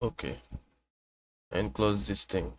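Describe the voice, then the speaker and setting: Slow, halting man streaming. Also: fairly low pitch, steady tone, gravelly. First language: Portuguese. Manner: halting, self-correcting, thinking aloud. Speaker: vtuber streamer. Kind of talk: casual reaction stream chat. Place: home room with air conditioner hum.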